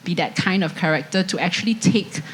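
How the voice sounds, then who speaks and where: A woman's voice: on the low side, a little fast, a little hiss or hum, poor audio. A woman with a Singaporean accent speaking as a surgeon on a panel, hesitant and full of restarts, into a handheld mic at an indoor event. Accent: Singaporean English. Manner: hesitant, many fillers and restarts. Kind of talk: panel discussion answer. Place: indoor event stage with audience. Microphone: handheld microphone.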